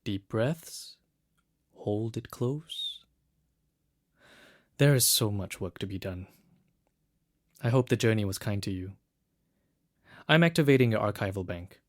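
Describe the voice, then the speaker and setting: A solemn guide through ancient ruins in an audio drama. He speaks very slowly in a lowish male voice, hushed and deliberate, giving poetic instructions to the one who records. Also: expressive. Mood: solemn, instructing. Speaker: narrator guide. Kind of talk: scripted audio drama narration.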